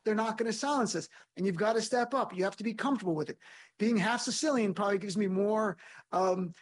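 A middle-aged man speaking as an interview guest, an animated and emphatic storyteller. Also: sits high, quick pace. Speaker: guest, comedian and lawyer. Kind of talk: panel interview.

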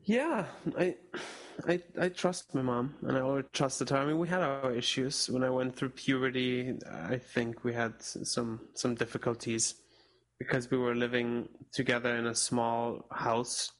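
A young man from Spain, raised in Portugal, whose first language is German, speaking as an interview guest in a reflective, halting way with frequent restarts. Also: medium pitch, fairly even intonation, slight background noise. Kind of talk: casual interview talk.

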